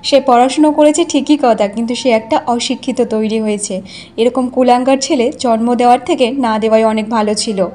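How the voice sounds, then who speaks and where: Bitter high-voiced village mother, played by a woman. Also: brisk, dark tone, lightly acted. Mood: bitter, reproachful. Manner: reproachful, lamenting.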